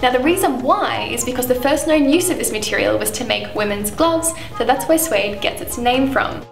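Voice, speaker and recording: Young woman presenter, gravelly edge, chatty product demo in an echoey room. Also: fairly high pitch, animated, normal speed, faint music behind. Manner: friendly, chatty, with frequent fillers. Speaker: shoe brand presenter. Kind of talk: product walkthrough to camera. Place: indoor room, seated in armchair.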